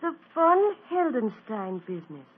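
A woman's voice: high voice, very animated, measured pace, husky, heavily acted. An actress playing a fussing, motherly older housekeeper who coaxes and fusses, on a tinny old radio broadcast. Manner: fussy, coaxing.

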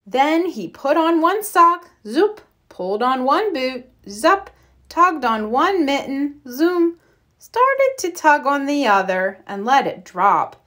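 A woman reading a children's picture book aloud expressively, deliberate and playful with the sound words. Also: sits high, big pitch swings, fairly bright tone. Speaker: read-aloud storyteller.

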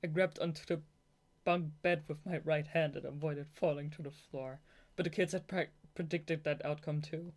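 Audiobook narrator, young adult, native German speaker, medium pitch, amateur novel reading with fannish asides. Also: fairly even intonation, normal speed, darkish tone. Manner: giggly, gushing fangirl asides between read passages. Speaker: audiobook reader.

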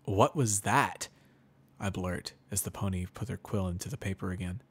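A man's voice: low-pitched, little pitch movement, brisk, darkish tone, husky. A man narrating a first-person story as a dramatic reading. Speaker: narrator, reader of fan fiction.

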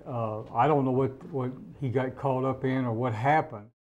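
A man in his fifties giving plain-spoken, halting interview answers as a business owner, on a lapel mic in a small office. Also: mid-range voice, steady tone, a little mellow, highs cut off, slight background noise.

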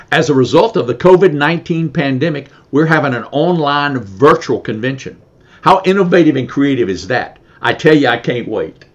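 An older man with a mid-pitched voice reads promotional lines in an earnest, plain way. The video-call sound is a little dull and lo-fi, recorded in an indoor office. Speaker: past club president. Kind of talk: scripted promotional dialogue. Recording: slightly dull lo-fi audio.

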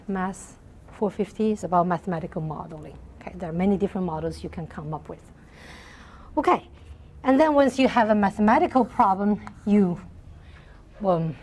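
Soft-spoken woman lecturer, middle-aged, keeps checking if the class is ok, lapel mic in a big lecture hall. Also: mid-range voice, slight background noise. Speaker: university math lecturer. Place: large lecture hall with blackboard.

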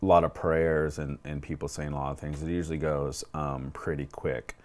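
A man's voice: extremely low pitch, level tone, normal speed. A man in his thirties on a lapel mic indoors, casually talking a client through things in an informal, explanatory way as a DJ. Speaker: wedding DJ consulting with clients. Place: home room at a table, indoors.

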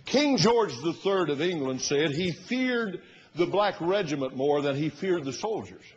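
A husky-voiced man, pitched fairly high for a man. His delivery is emphatic and oratorical. The sound is muffled, with the long reverb of a big church hall. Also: expressive, a little slow, a little hiss or hum. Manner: emphatic, oratorical, rising to loud bursts and dropping low. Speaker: pastor preaching. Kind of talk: fiery sermon. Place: large church sanctuary, at the pulpit platform.

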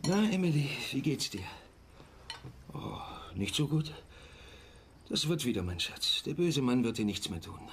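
A tender, evasive father, with a creaky mid-pitched voice. He coaxes softly and hesitantly in a radio drama, with faint noise behind. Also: big pitch swings, a little slow. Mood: soothing. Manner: soft, hesitant, coaxing. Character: gentle, evasive young father and husband. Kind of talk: naturalistic German radio drama acting.

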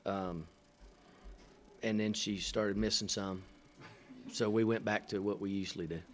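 Halting coach with a low voice. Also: male voice, steady tone. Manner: halting, many fillers and restarts, dry matter-of-fact humor. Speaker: head coach. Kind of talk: post-game press conference answers. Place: press room in front of a sponsor backdrop. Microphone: table microphone.

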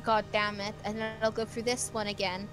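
A woman's voice: mid-range voice, level tone, a little fast, rough recording. A woman with a playful manner, chatting casually with friends during a video game. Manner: playful, baby-talks about a pet. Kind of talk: casual group banter. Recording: background game music.

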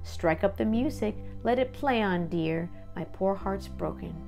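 Creaky-voiced woman reading to children, music behind. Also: medium pitch, expressive, measured pace, mellow. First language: English. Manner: sing-song recitation of rhymes, informal asides. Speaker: read-aloud host. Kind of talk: expressive children's picture-book read-aloud.

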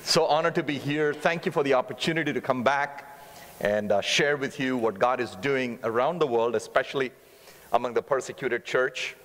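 Fast-talking Indian man preaching in a hall. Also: mid-range voice, slight background noise. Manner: fast-talking, warm humor, earnest. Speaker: guest missionary speaker. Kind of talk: sermon. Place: church stage, large sanctuary. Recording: long reverb of a church hall.

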